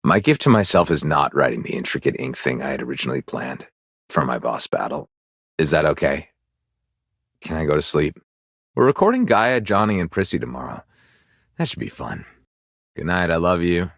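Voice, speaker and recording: A man's voice: a bass voice, very animated, moderate pace, vocal fry, long pauses, fairly bright tone, slightly rough, no echo, studio quality, exaggerated voice. A man voicing a weary, theatrical, fussy game developer in a video game, dramatic and prone to interrupting himself. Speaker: game director character.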